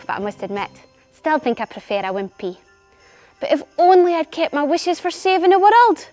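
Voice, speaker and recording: A woman's voice: high-pitched, very animated, moderate pace, a little mellow, soft background music. A woman playing a wry, chirpy genie of the lamp in a pantomime, with a North-East Scottish accent. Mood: chirpy, rueful. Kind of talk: comic pantomime monologue to the audience.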